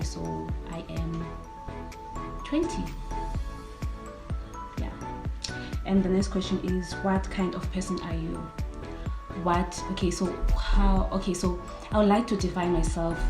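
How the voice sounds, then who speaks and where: A young woman in her late teens with a South African accent, talking casually as a vlogger. Her voice sits a little low and stays fairly flat in melody, though she repeats phrases for emphasis. The room is clearly echoey, with music playing underneath. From South Africa, Cape Town. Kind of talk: casual get-to-know-me vlog chat. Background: background music.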